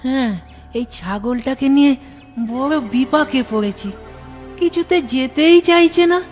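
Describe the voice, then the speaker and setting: A woman's voice: high voice, a little slow, a little mellow, faint music behind. A woman voicing a naive, honest young village boy in a cartoon, exclaiming and repeating words. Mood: friendly.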